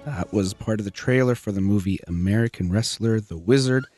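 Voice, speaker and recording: A man hosting a radio interview show as a psychologist, brisk and attentive, giving frequent short backchannels. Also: on the low side, music in the background.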